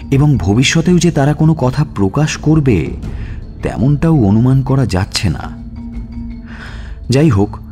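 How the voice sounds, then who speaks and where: An actor playing a police detective who narrates his murder investigation in a deliberate, questioning manner in an audio suspense story. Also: lowish voice, a little mellow.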